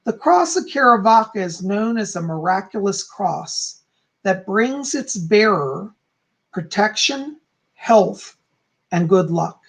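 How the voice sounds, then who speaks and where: A calm, soft-spoken man who is hoarse and losing his voice, teaching on a livestream and reading aloud from a book. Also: high-pitched, slow pace. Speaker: psychic teaching about folk magic.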